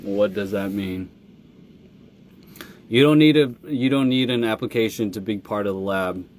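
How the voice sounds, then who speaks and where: A man in his thirties chatting casually on a phone live stream, reading fan comments and answering in a relaxed way, with plenty of fillers and laughs. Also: on the low side, moderate pace, a little hiss or hum. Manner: laid-back, lots of fillers and laughs. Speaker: musician streaming live with fans.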